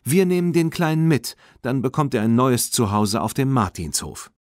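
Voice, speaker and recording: A kind, excitable young girl who loves horses, voiced by a man in a German children's audiobook. The delivery is animated and expressive, recorded dry. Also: medium pitch, moderate pace, dry recording, pitched above the performer's own voice, close to an everyday voice. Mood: eager. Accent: standard German. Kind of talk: children's audiobook character reading.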